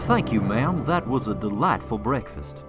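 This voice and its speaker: Male actor as tactful faithful traveler, low but expressive voice, polite, hesitant, radio play, music behind. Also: normal speed. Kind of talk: radio drama acting.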